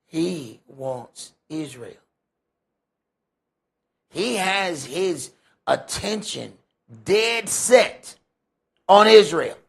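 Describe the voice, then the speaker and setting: A man preaches in a very raspy, deeply creaky voice. His pitch sits rather high for a man. He talks in a folksy, conversational way, leaning hard on key points and putting questions to his listeners. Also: lively intonation, extremely slow, pauses often. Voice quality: gravelly, husky. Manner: emphatic, rhetorical questions, folksy phrasing. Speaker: preacher leading a Bible study. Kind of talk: conversational sermon with scripture commentary.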